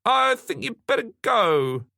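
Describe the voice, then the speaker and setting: A man voicing a stern, brisk female detective inspector, formal and interrogating, in an audiobook crime story. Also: extremely high pitch, big pitch swings, gravelly, sharp tone, no room sound, studio quality, voice pushed up, exaggerated voice. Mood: firm.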